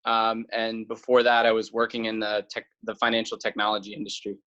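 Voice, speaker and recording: A man's voice: lowish voice, monotone, a little fast, fairly bright tone, muffled recording. A man from South Carolina giving conversational interview answers as a guest, a little hesitant and repeating words. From South Carolina, United States. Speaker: interview guest, company founder.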